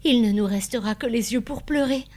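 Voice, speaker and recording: A woman's voice: on the high side, lively intonation, normal speed, voice pushed up, natural voice. Storybook role: devoted, worried wife of a failing shopkeeper, pleading and emphatic, played by a female reader. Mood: despairing. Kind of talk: dramatised audiobook dialogue.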